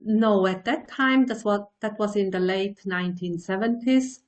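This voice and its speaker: A woman in her sixties from Switzerland, a meditation teacher answering interview questions in a thoughtful way, pausing to find her words. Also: mid-range voice. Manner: slow, thoughtful, searching for words.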